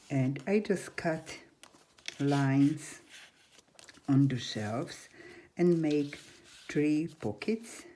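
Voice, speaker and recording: This is an older woman in her seventies presenting a craft tutorial. Her voice sits extremely low for a woman and has a dark, mellow tone. She speaks slowly in halting, non-native English, with faint noise in the background. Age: 70s. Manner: halting non-native English with simple grammar, chatty and unhurried. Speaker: crafting channel host. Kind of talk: scrapbook craft tutorial, showing an album.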